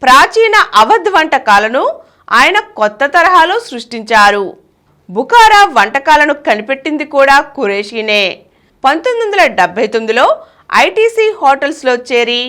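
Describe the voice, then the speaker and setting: A woman newsreader with a high, bright voice that moves a lot in pitch. She reads her bulletin in a measured way and lifts each headline. The tone is clear and forceful. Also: big pitch swings. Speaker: news reader. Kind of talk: read news bulletin.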